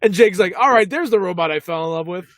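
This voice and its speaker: A man co-hosting a casual podcast about a TV episode, animated and slipping into quick character voices as he retells scenes. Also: high voice, dry recording, studio quality. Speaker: podcast co-host.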